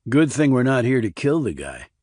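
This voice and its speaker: A man voicing a wry, sardonic army investigator in an audiobook, with dry, clipped, deadpan delivery. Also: medium pitch, normal speed, no echo. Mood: dry, probing. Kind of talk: audiobook character dialogue.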